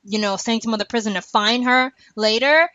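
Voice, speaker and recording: A woman's voice: fairly high pitch, brisk, no room sound, muffled recording. A woman co-hosting a casual fan podcast, talking in a playful, slangy way. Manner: animated fan talk with slangy, playful wording. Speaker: podcast host. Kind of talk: casual podcast chat about a TV show.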